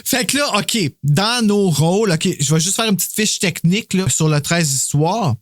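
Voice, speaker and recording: A man's voice: high voice, animated, moderate pace, dry recording, clean studio sound. A man with a Quebec French accent hosting a podcast in casual, jokey, rambling chat full of slang. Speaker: podcast host.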